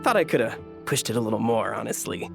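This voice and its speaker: An actor voicing an eager, good-natured police officer with a theatrical streak in a video game, casual and expressive. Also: medium pitch, quick pace, vocal fry, faint music behind. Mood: bashful, pleased.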